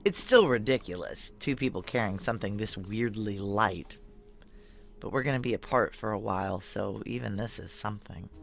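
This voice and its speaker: A woman's voice: extremely low pitch, normal speed, vocal fry, faint music behind. A terse, guarded female security chief. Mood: wry, confiding. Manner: terse, wry, confiding. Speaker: lead character and narrator. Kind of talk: noir audio drama acting and narration.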